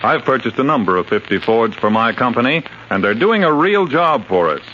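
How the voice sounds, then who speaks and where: A man's voice: medium pitch, big pitch swings, brisk. A man reading a scripted 1950s radio testimonial as a customer. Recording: dull vintage radio recording, faint noise.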